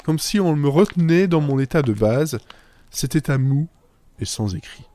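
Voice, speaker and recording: A man's voice: fairly high pitch, animated, normal speed, mellow, no echo. A man delivering a scripted first-person monologue as a writer-narrator, whimsical and self-mocking, with playful asides. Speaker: writer narrating own fiction podcast. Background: music.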